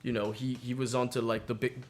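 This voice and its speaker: A man's voice: mid-range voice, flat delivery, brisk. A man co-hosting a radio show, talking casually about politics in a profane, sarcastic, opinionated way. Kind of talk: casual political rant and chat.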